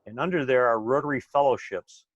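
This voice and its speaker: A man's voice: medium pitch, brisk, no echo. Guy running a zoom meeting, chatty with lots of uhs, dry muffled sound. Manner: chatty, filler-heavy. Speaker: meeting host. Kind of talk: hosting an online meeting.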